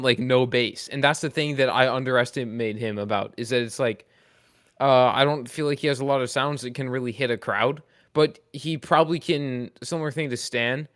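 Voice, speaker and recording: A man's voice: medium pitch, a bit bright, no echo. Dry-sounding man chatting casually. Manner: joking, informal, slangy. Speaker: podcast co-host. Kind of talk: casual podcast discussion.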